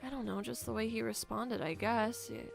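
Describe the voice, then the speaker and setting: A woman playing a troubled young camp girl in an improvised game roleplay, speaking haltingly and trailing off as she confides. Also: fairly high pitch, creaky, faint music behind. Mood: hesitant, uneasy. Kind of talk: improvised Minecraft roleplay dialogue.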